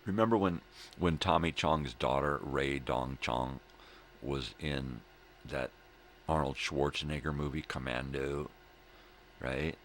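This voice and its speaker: A man's voice: rumbling low, slow, a bit bright. A middle-aged man in a rambling casual morning chat, with a laid-back stoner drawl and a habit of repeating himself. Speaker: host of a daily morning video log. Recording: noticeable background noise.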